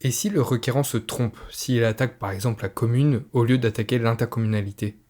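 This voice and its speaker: A man's voice: medium pitch, steady tone, brisk, darkish tone. A man with a mid-range voice takes the interviewer's part. His questions are formally phrased and sound prepared in advance, read as scripted prompts.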